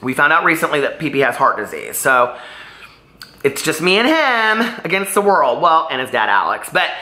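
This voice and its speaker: A man's voice: a little high, sing-song, normal speed, pushed and bright, roomy sound. A man chatting casually and animatedly as a book vlogger, rambling off on tangents.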